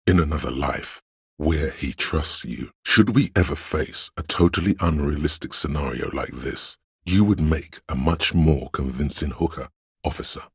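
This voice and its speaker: A man voicing a policeman's inner instinct in a video game, narrating in the second person with grave, measured, dryly ironic delivery and a gravelly, husky tone. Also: extremely low pitch, no echo, clean studio sound, exaggerated voice. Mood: dry, deliberate.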